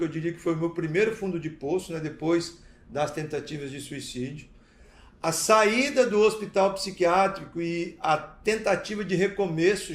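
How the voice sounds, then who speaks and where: A man gives a personal testimony in a notably high-pitched voice. He speaks deliberately, in short phrases with pauses and checking tags. The recording is clearly echoey. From interior of Paraná, southern Brazil. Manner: deliberate, pausing between short phrases, seeks agreement with tags. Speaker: recovery talk speaker. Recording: echoey, roomy sound.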